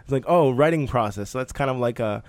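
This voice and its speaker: A man's voice: mid-range voice, quick pace, no echo. A man hosting a podcast, introducing his guest and asking questions in a friendly, chatty way. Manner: friendly, chatty, laughs along. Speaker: podcast host. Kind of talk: casual interview hosting.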